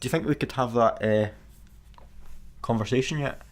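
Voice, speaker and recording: Guy doing talkative young scottish adventurer, bit awkward, mid pitch, sci-fi radio comedy. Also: normal speed, lightly acted. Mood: hopeful, awkward. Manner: talkative, self-effacing. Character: chatty, awkward, hopeful young man adventurer.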